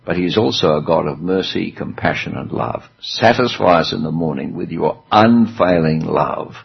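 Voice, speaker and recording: Gravelly, husky man preaching a sermon. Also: sits low, moderate pace, lo-fi sound. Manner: chatty, self-deprecating humor.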